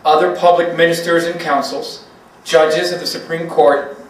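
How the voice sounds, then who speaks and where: A man's voice: fairly high pitch, flat delivery, normal speed. A man giving a formal public reading of a legal document as a guest speaker. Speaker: invited guest reader, government attorney. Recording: echoey room, distant mic.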